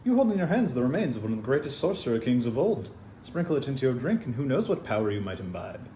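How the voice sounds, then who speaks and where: A man's voice: mid-range voice, big pitch swings, fast talker, mellow, natural voice. A man playing a boastful fantasy thief and relic peddler in an audio drama, talking in a grand, theatrical manner. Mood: grand, enticing. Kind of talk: dramatic audio fiction acting. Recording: roomy, some background noise.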